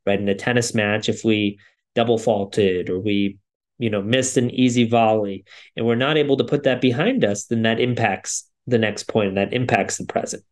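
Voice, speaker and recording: A man's voice: on the low side, moderate pace. A man co-hosting a podcast, giving a thoughtful solo recap in loosely strung sentences. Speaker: podcast co-host.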